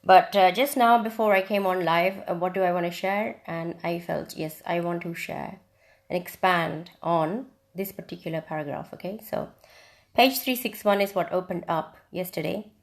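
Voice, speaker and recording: A woman's voice: on the low side, moderate pace. A woman from India teaching on a live video stream in a reflective, casual way, with frequent ums and restarts. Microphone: phone or webcam on a live video stream.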